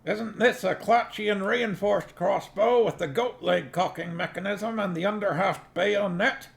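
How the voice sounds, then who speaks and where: Nobby, a gravelly, high-pitched blusterer. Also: male voice, unhurried. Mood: blustering. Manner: blustering, pushy, bossing people about. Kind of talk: audiobook character voice.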